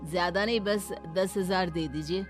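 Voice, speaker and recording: A woman's voice: on the low side, normal speed, soft background music, close to an everyday voice. A woman voicing a matter-of-fact food delivery worker in a cartoon story, polite and insistent. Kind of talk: Hindi moral cartoon story acting.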